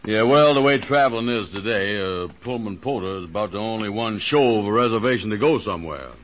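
An actor playing an easygoing, slow-witted man with a folksy, ungrammatical drawl in a 1940s radio comedy. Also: on the low side, fairly bright tone. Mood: musing, lazy. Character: Andy, easygoing, lazy, slow-witted man with big notions. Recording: dull vintage 1940s radio broadcast.

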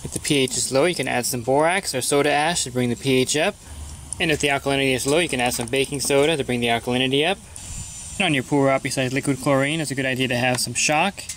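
A man's voice: mid-range voice, quick pace, fairly bright tone, a little hiss or hum. A pool service technician talking through his weekly routine in a plain, matter-of-fact instructional voice-over.

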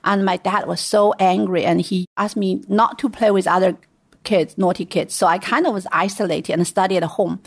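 A middle-aged woman with a Chinese accent, a guest telling stories in a relaxed interview, laughing lightly now and then. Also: mid-range voice, moderate pace, creaky, no echo, clean studio sound. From China. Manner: chatty storytelling with light laughs. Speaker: guest, venture investor. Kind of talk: casual interview.

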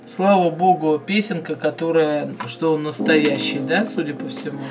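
An older woman at home, chatting casually and thoughtfully as she reacts to a music video, often pausing with soft fillers. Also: sits low, steady tone. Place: small home room with curtains, indoors.

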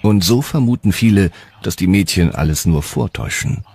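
A man's voice: low-pitched, animated, moderate pace, creaky, noisy background. A man reading scripted documentary narration as the narrator. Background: music.

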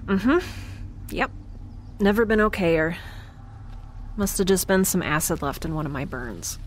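A woman's voice: lowish voice, lively intonation, measured pace, a little hiss or hum. A woman playing a chatty, quirky, anxiously upbeat space dweller in an audio drama, rambling wryly and naturally. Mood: upbeat, defensive. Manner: rambling, wry, self-reassuring. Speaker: lead character. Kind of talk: naturalistic audio drama acting, talking to herself.

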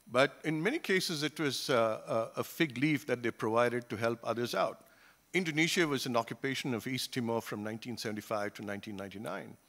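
An older man gives a panel talk at a conference table, speaking into a gooseneck microphone. His delivery is measured and thoughtful, often pausing on fillers and restarting his phrases. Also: mid-range voice, a bit bright. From Singapore. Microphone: table gooseneck mic.

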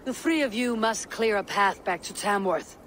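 An actress playing a steady Viking woman leader who speaks calmly, bluntly and dryly in game cutscenes. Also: mid-range voice, normal speed, long reverb tail, a little hiss or hum, natural voice. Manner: calm, dry, blunt. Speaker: game protagonist.

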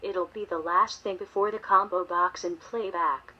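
A woman's voice: lowish voice, steady tone, moderate pace. A synthetic female text-to-speech voice reading instructions like a screen reader. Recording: dull playback from computer speaker.

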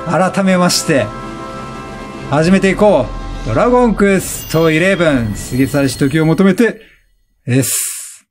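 Animated high-voiced man commentating a game. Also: soft background music. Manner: exclamatory, repeats words in rapid bursts; laughs often while talking. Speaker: let's play host. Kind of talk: excited gameplay commentary.

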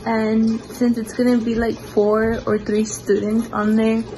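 A woman's voice: a little high, a little slow, a little hiss or hum, low-quality recording. A young woman vlogging her day from a small bedroom at home, talking casually into a phone held at arm's length. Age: young adult. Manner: chatty, relaxed, lots of likes and ums. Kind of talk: casual day-in-my-life vlog. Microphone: phone camera at arm's length.

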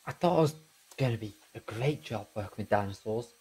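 A man's voice: lowish voice, expressive, a little fast, darkish tone, breathy edge. Man acting a grumbling, hapless park worker. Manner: casual, complaining. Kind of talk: audio drama acting.

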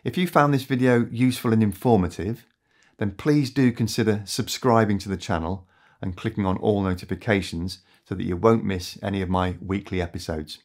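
Earnest man, low voice. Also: a little mellow. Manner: measured, earnest and articulate, addressing viewers directly. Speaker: host of an educational climate and energy channel. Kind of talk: scripted explainer narration to camera. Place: small home studio with shelves and lamps, indoors.

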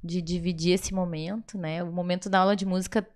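A woman's voice: lowish voice, fairly even intonation, a little fast, darkish tone. Southern Brazilian woman, enthusiastic podcast guest. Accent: southern Brazilian (gaúcho). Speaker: podcast guest, children's music teacher. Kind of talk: casual interview chat. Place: small indoor podcast studio. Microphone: studio microphone on boom arm.

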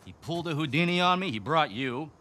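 An actor playing a smug, taunting American villain in a video game cutscene, with theatrical sarcasm. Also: male voice, a little high, expressive, fast talker, bright, forceful tone. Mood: sarcastic, menacing. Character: smug, mocking male villain, rich treasure hunter.

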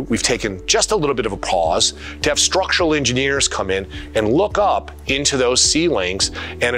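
A man giving a prepared briefing to his community as a school superintendent, phrasing things deliberately and stressing key words and lists. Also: mid-range voice, bright, forceful tone.

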